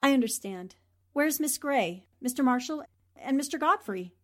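Woman as a plucky high-voiced newspaperwoman. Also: animated, normal speed. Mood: composed. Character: plucky, composed newspaperwoman and investigator. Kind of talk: 1940s radio drama acting.

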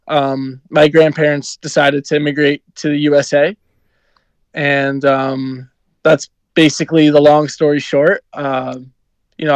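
A man's voice: a little high, darkish tone, smooth, dry recording, highs cut off. A young man from New Jersey, a hockey player answering interview questions in an easygoing way, with many ums and you-knows. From New Jersey, USA.

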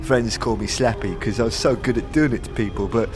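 A man's voice: fairly low pitch, brisk, over music, natural voice. A man playing a scruffy drunken tavern regular in a fantasy audio drama, rambling and jittery with slurred, stammering, repetitive speech. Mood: tipsy, wistful. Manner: slurred, stammering, repeats words.

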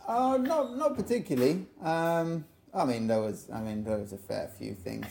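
A young English man from England, a podcast guest telling relaxed stories about his life with lots of fillers. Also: a little high, moderate pace, creaky, a loud crowd. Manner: relaxed storytelling with frequent fillers. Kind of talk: casual interview.

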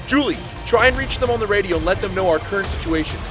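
High-voiced gung-ho man as a squad leader. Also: lively intonation, quick pace. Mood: rallying. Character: gung-ho male squad leader of zombie survivors. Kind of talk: audio drama acting. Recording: loud music and gunfire behind.

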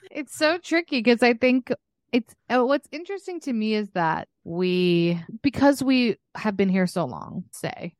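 An American woman in her thirties chatting casually as a podcast co-host, rambling and quick to laugh. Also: fairly high pitch, expressive, dry recording, studio quality. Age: 30s. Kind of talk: casual chat.

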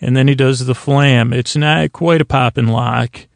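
A man with a creaky voice hosting a sleep podcast, talking in a meandering, halting, whimsical monologue that circles and over-describes. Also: mid-range voice, fairly even intonation, no echo. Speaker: podcast host. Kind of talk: rambling bedtime monologue to help listeners sleep.

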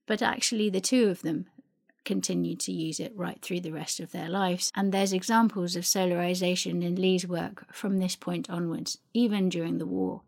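A woman presenting a narrated talk on art history, explaining in a measured, informative way. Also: fairly low pitch, steady tone, gravelly, a little mellow. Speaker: speaker presenting a family archive.

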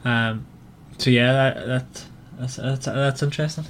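A man hosting a casual book club chat in a hesitant, halting way, often restarting his sentences. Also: medium pitch, steady tone, slight background noise. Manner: hesitant, halting delivery with repeated false starts. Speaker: podcast host.

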